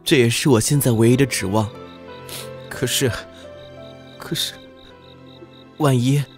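A man's voice: mid-range voice, unhurried, music in the background, lightly acted. A man playing an anguished, earnest young prince in a historical audio drama, speaking with emotion. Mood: anxious, despondent.

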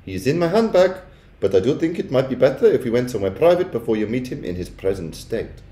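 A man's voice: medium pitch, very animated, fast talker, warm tone, voice pushed up, natural voice. An old storybook grandmother, played by a man, kindly yet bristling. She speaks politely and insistently in a raised voice, with noticeable room echo. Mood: firm, insistent. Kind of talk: children's storybook character voice. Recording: echoey room.

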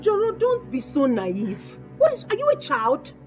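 A woman acts out a snobbish young Nigerian girlfriend in a comic melodrama. Her voice is high, and she is blunt and scornful, hammering each point with repetition. Faint music sits underneath. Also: huge pitch swings, measured pace, a little mellow, exaggerated voice. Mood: indignant scolding. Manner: emphatic, scolding, repetitive. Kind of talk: comedic melodrama acting.

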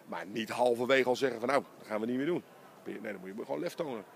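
Gravelly-voiced Dutch basketball coach, fast talker. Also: male voice, medium pitch, a little hiss or hum. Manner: animated, emphatic, talks in quick bursts. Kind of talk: post-game interview. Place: empty indoor basketball arena. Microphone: handheld interviewer mic held close.

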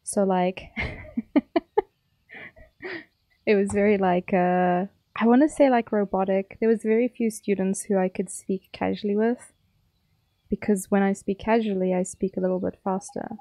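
A woman's voice: medium pitch, steady tone, a little slow, pauses often, mellow, no room sound. Chatty South African woman streamer. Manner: chatty, playful, teasing chat. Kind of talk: casual chat with viewers while gaming.

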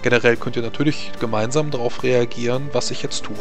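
A man acting as game master, calmly explaining rules and the map to his players. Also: mid-range voice, fast, music in the background. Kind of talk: running a tabletop strategy game over voice chat.

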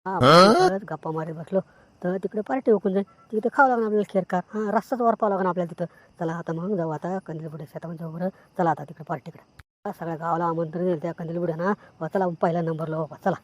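Lady doing a nosy village fella, rural maharashtrian accent, coaxing and quick, lowish voice, cartoon comedy. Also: natural voice. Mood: urging. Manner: insistent, repetitive. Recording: noticeable background noise.

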